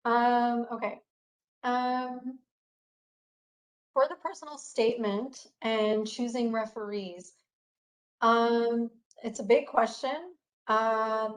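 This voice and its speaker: A woman reads out questions over a video call, her voice on the high side. She talks slowly, lightly and with hesitation. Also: low-quality recording. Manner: light, hesitant, laughs often. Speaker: moderator.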